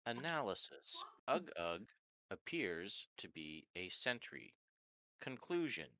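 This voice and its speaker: A man's voice: lowish voice, sing-song, slow, sharp tone, no echo, full character voice, poor audio. A man voicing a terse, mechanical warforged construct that announces each statement type before speaking. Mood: flat, methodical. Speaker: player.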